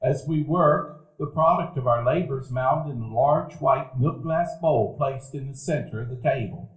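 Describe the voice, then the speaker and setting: A man's voice: mid-range voice, measured pace. A man narrating a live radio play in a reflective, storytelling way. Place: event hall before a live audience. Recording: echoey hall recording.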